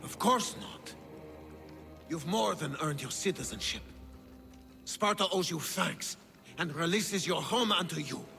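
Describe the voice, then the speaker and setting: An actor playing an impatient, dismissive Spartan king in a video game, with a creaky, sharp voice. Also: on the high side, expressive, unhurried, long pauses, long reverb tail, soft background music, heavily acted. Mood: gracious. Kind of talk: video game dramatic acting.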